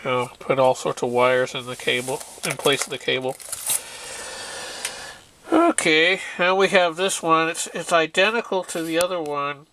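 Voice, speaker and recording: A man's voice: a little high, normal speed, sharp tone, a little hiss or hum, rough recording. A man casually showing off and explaining shortwave radios he repaired, rambling and restarting his sentences as he talks through technical details. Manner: rambling, self-interrupting, technical. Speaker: radio hobbyist. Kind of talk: casual show-and-tell.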